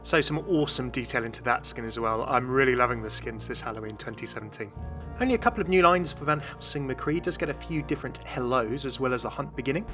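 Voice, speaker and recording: A man's voice: medium pitch, fast talker, over music. A man hosting a gaming channel, giving casual, enthusiastic commentary in a voice-over.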